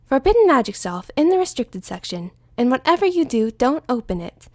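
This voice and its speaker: A woman's voice: sits high, sing-song, fairly quick, darkish tone, no echo, studio quality. Brief: studious female unicorn, fed up and scolding, voiced by a woman reader. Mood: exasperated. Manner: exasperated and scolding.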